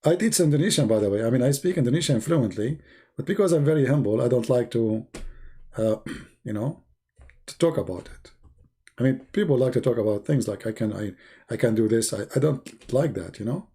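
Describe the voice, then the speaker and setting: Male live stream host, mellow dark tone, gravelly rasp, sarcastic, repetitive, off-the-cuff. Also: medium pitch, animated, fairly quick. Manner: teasing, sarcastic, repeats phrases. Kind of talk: rambling religious debate live stream chat.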